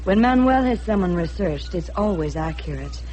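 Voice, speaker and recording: A woman's voice: on the low side, animated, moderate pace, darkish tone, lightly acted. An actress playing a poised, candid young rich woman in a radio drama, speaking directly with cool composure. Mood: coolly teasing. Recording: noisy vintage radio drama recording.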